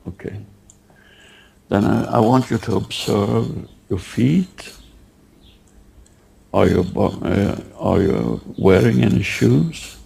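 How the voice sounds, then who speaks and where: A man leading a guided meditation, giving halting, unhurried instructions and asking gentle questions. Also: on the low side, sing-song, gravelly, breathy edge.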